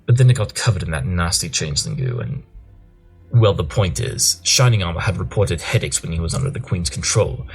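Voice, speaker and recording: A man's voice: sits low, husky, exaggerated voice. A man playing a pompous pony detective in a cartoon audio drama, lecturing through his deductions. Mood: explaining. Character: brilliant, smug male pony detective. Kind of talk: scripted audio drama acting.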